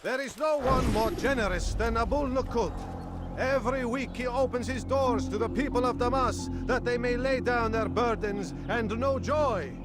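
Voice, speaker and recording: A woman's voice: on the high side, moderate pace, natural voice. An actor playing a devoted town crier in a video game, a declamatory woman's voice praising a ruler over music. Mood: fervent praise. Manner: declamatory, oratorical. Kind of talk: video game character acting. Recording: loud music under the voice. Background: loud music.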